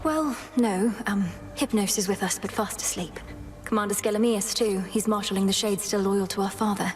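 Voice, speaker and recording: An actress playing a young witch princess in a video game, a determined and earnest sister with a formal, measured way of speaking. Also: medium pitch, close to an everyday voice. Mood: somber. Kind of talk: video game character dialogue.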